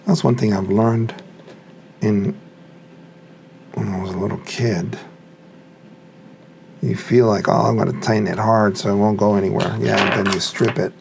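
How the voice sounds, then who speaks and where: A man's voice: lowish voice, steady tone, normal speed, gravelly, pauses often, a little mellow, a little hiss or hum. A man giving casual hands-on unboxing commentary, muttering to himself as he works with his hands. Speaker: hobbyist reviewer.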